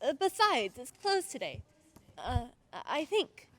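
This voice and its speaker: Woman playing a high-voiced, plucky little girl. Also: big pitch swings, measured pace, no echo. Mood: feigned innocence. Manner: earnest, artless, probing. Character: plucky, inquisitive little girl, feigning innocence. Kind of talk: old-time radio drama acting with first-person narration.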